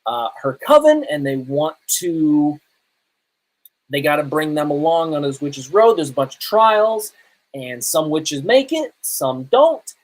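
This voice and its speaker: A man's voice: a little high, sing-song, a little slow, poor audio. A man hosting a podcast, talking casually in a rambling, conversational way and often correcting himself. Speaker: podcast host. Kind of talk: casual live podcast talk and reviews.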